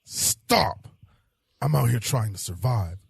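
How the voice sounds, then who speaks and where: A man playing a cynical, self-serving hard-boiled police detective in an audio drama, defensive and pragmatic, with a rough voice. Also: medium pitch, lively intonation, slow pace, full character voice. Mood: defensive, frustrated.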